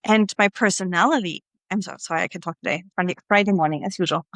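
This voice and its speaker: A woman's voice: fairly low pitch, fast talker, fairly bright tone, no echo. A woman, hosting a podcast and chatting with a guest, rambling and laughing often. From Germany. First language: German. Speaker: podcast host. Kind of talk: casual interview chat.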